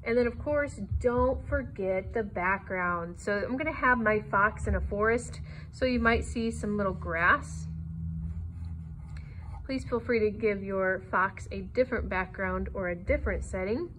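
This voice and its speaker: A woman's voice: mid-range voice, a little slow, noisy background. A woman art teacher giving an easygoing, encouraging step-by-step drawing tutorial.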